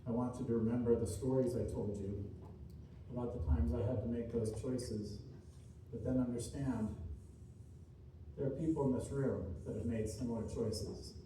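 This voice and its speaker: A man delivers a motivational keynote in a very reverberant room, picked up from a distance. His voice is very soft-edged and dark in tone, at a middling pitch. He speaks earnestly and deliberately, building short phrases through repetition and emphasis. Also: steady tone. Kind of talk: inspirational keynote speech to a live room. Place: large indoor room at a seminar. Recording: distant mic, echoey room, faint noise.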